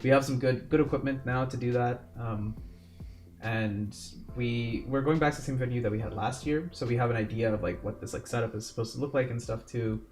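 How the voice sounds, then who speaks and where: A man's voice: medium pitch, steady tone, a little fast, a little mellow. Young male podcast co-host, self-mocking rambler, home desk mic, faint music under. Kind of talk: casual video-call podcast chat. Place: home bedroom. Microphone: desk mic with pop filter.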